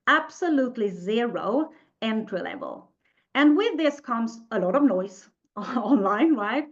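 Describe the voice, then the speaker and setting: This is a woman with a Swedish accent, speaking English as her second language while she hosts a live online webinar. She talks in a chatty, upbeat way with a fairly high voice. The audio sounds a little dull and lo-fi. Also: lively intonation, unhurried. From Sweden. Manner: upbeat, chatty, reads the live chat aloud. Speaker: webinar host.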